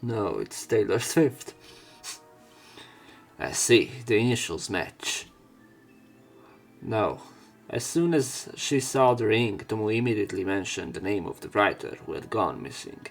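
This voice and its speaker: A man's voice: lowish voice, sing-song, a little slow, vocal fry, pauses often, soft background music. A man reading a visual novel's text aloud, breaking off now and then for casual, jokey commentary. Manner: casual, jokey asides between read lines. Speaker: let's play narrator.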